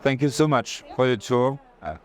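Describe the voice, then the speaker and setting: A man's voice: mid-range voice, normal speed. A man with a French accent chatting casually on an art fair tour, informal and often searching for words. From France. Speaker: art institution director in conversation.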